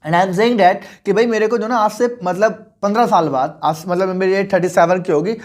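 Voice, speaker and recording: A man's voice: sits high, moderate pace. Persuasive male finance coach. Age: 20s. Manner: persuasive, mixes English into Hindi, asks the listener questions. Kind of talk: motivational finance lesson.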